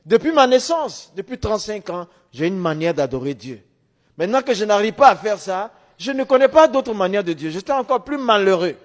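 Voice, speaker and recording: The long reverb of a hall surrounds a man with a high-pitched voice. He is a non-native French speaker, middle-aged, delivering a religious testimony like a storyteller. His phrases come in short, emphatic bursts that he often repeats. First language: a language other than French, Arabic or English.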